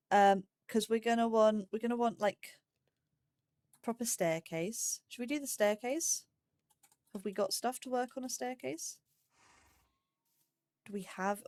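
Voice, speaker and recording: Female let's play host: unhurried delivery, medium pitch, casual thinking-aloud commentary with playful turns of phrase. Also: no echo. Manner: chatty thinking aloud, playful cutesy wording.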